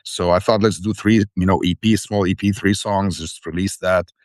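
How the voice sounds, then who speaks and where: A man from Syria speaks in a very deep register. He tells his story earnestly and rambles, often restarting his sentences. The recording is dry and close. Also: fairly even intonation, moderate pace, darkish tone, no room sound. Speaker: band founder and vocalist. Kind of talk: interview guest, casual storytelling. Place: living in the Netherlands.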